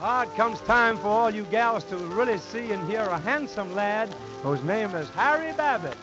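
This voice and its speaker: A man's voice: high-pitched, expressive, normal speed. A man introducing an act as an old-time radio announcer. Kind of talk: 1940s-50s radio show introduction. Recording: muffled old radio broadcast with music.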